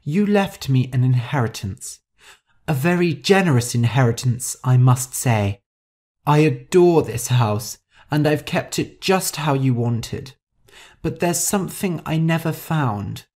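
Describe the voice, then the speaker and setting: A woman's voice: extremely low pitch, animated, unhurried, a little mellow, exaggerated voice. A woman voicing a haughty, vain, hot-tempered older heiress in an audiobook story, with an imperious, theatrical manner. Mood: polite, probing.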